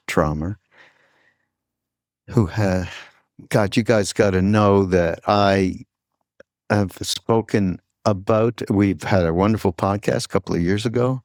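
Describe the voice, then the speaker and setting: A man with a fairly low voice hosts a casual interview podcast. He talks very slowly and informally, wandering and halting as he goes. The sound is close and dry, with hardly any room on it. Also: fairly even intonation, a little mellow, dry recording. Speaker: podcast host.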